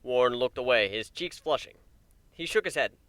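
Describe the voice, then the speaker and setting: A man's voice: mid-range voice, lively intonation, moderate pace, a bit bright, clear, resonant tone, no room sound. A man narrating a story as a dramatic reading.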